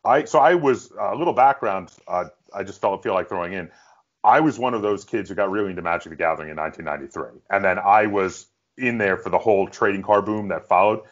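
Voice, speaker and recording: A man's voice: fairly low pitch, quick pace. A middle-aged man hosting a casual interview, talking as a fan of the subject. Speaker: interviewer, podcast host. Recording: dull lo-fi sound.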